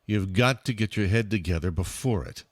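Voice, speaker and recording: A man's voice: on the low side, moderate pace, background noise, natural voice. A man voicing a stern, businesslike associate in an audio drama.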